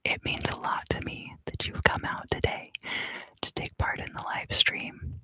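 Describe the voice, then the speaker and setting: A woman giving an ASMR card reading for a live stream in a soft, unhurried, often fully whispered voice, close to the microphone. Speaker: ASMR tarot reader and live stream host.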